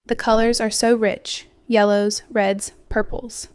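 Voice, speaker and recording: A woman gently guiding a sleep hypnosis meditation in a slow, soothing, scripted delivery with softly trailing phrases. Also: fairly high pitch, flat delivery, smooth, dry recording, clean studio sound. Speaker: hypnosis guide.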